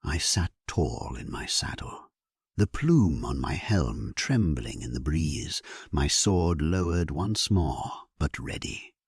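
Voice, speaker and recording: A man giving a solemn, measured and theatrical first-person narration of a scripted dramatic sleep story. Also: rumbling low, very animated, husky, no echo, clean studio sound.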